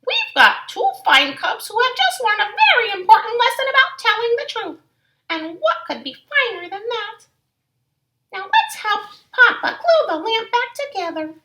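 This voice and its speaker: A woman voicing a gentle, wise mother bear in a soft, sing-song motherly way. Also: very high voice, sing-song, moderate pace, voice pushed up, heavily acted. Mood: warm.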